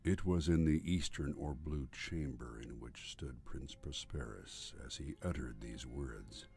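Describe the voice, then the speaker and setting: A man narrating a gothic horror story in a solemn, theatrical, deliberate way, as a voice-over narrator. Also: rumbling low, creaky, mellow. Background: music.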